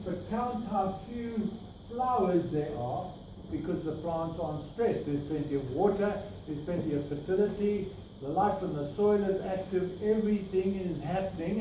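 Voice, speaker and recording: A middle-aged South African man gives an explanatory slide talk, pointing things out with rhetorical asides. His voice sits fairly high and is caught by a distant camera in a very echoey meeting room. Steady background noise runs under the whole recording. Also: normal speed. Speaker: grazing workshop presenter. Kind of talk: slide talk to a workshop group. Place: meeting room with projector screen. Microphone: far camera, no mic.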